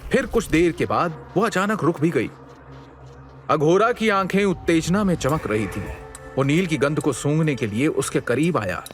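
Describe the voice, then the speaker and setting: Male story narrator, slightly high pitch, scripted dramatic delivery, soft music bed. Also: expressive, moderate pace.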